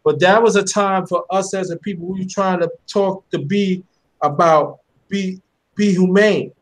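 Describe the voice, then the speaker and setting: Host, man, 50s, high voice, earnest, repeats openers. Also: fairly even intonation, a little slow, low-quality recording. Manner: earnest, repeats words when starting sentences. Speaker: channel host interviewing a guest. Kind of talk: casual interview chat.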